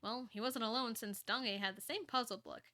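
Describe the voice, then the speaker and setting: Bright, high-voiced woman reading fanfiction aloud. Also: brisk. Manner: expressive, playful, giggly, reacts to the story. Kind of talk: dramatic story reading with chatty asides.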